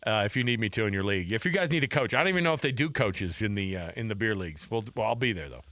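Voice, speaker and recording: A man's voice: lowish voice, expressive, rapid-fire, fairly bright tone, no echo. A man hosting a sports talk radio show, chatting casually and riffing jokingly in a rambling way.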